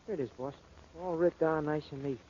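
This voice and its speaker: Man as high-pitched New crook sidekick. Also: brisk, a little mellow, lightly acted. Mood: eager, deferential. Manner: uneducated street talk, deferential, hesitant. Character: eager, loyal small-time crook sidekick, dim but devoted. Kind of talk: 1940s radio comic-sidekick acting. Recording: muffled, noisy 1940s radio recording.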